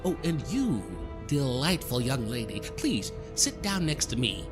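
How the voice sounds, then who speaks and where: A man playing a suave gentleman stranger in an audio drama, florid, over-polite and slyly mocking. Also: mid-range voice, sing-song, a little slow, over music. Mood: courtly, needling.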